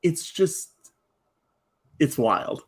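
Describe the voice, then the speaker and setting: A man's voice: on the high side, big pitch swings, drawn-out words, breathy edge. A man talking casually in a podcast discussion. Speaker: podcast speaker. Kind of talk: casual chat.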